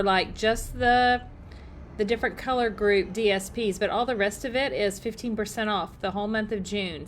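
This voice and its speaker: A middle-aged woman, slightly hoarse, chatting upbeat through a casual live crafting demo and greeting viewers by name. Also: a little high, a bit bright. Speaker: paper-crafting demonstrator.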